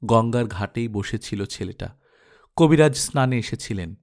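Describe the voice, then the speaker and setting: A man reads a literary story as an audiobook narrator, expressive and measured, with reflective pauses. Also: lowish voice.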